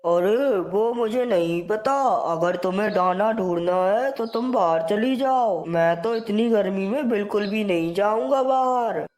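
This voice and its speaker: A woman's voice: mid-range voice, unhurried, hardly any pauses, darkish tone, lightly acted. A woman plays a male cartoon crow, a husband who is lazy, greedy and full of schemes. He grumbles and makes excuses for himself in a mid-range voice. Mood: grumbling, lazy. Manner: complaining, self-justifying. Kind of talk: Hindi cartoon dialogue.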